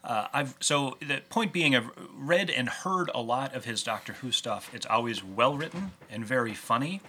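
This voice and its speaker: A man's voice: mid-range voice, expressive, moderate pace, pushed and bright, husky. A man hosting a casual fan discussion, explaining with nerdy enthusiasm. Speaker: podcast host.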